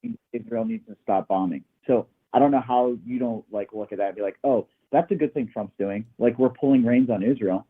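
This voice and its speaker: A Midwestern man calls in over a tinny phone line, his voice a little husky at an ordinary middle pitch. He is hesitant and defensive, stumbling and restarting as he argues his point. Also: brisk, few pauses. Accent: Midwestern American. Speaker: caller. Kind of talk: call-in debate.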